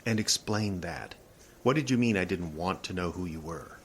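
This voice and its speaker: A man's voice: low voice, expressive, normal speed, a little hiss or hum. An actor playing a guarded, irritable regular guy haunted by nightmares in an audio drama, defensive and exasperated. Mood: wary, guarded. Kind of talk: naturalistic audio drama acting.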